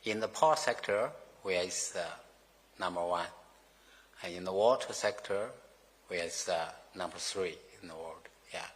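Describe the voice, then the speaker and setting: A man giving formal remarks as a company executive in halting English, full of fillers and grammar slips. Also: low voice. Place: formal meeting room. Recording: reverberant meeting hall.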